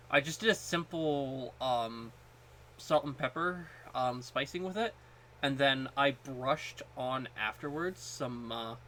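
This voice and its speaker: A man with a mid-pitched voice chats casually as a podcast co-host. He speaks in a halting way, circling back over the openings of his phrases. A faint noise sits behind the voice. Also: sharp tone. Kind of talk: casual chat about food.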